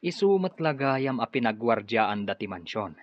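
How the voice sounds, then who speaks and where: A man's voice: medium pitch, very animated, takes it slowly, no echo. A greedy schemer in an Ilocano radio drama. His mid-range voice swings up and down with menacing emphasis. The sound is dull and dry. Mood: frustrated. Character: scheming businessman relative, forceful and greedy.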